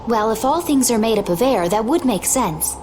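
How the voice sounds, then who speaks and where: A woman's voice: a little high, lively intonation, normal speed, darkish tone, slight background noise, close to an everyday voice. An actress playing a serene, teasing young woman in a video game, with a coy manner. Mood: calm. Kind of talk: English video game dub acting.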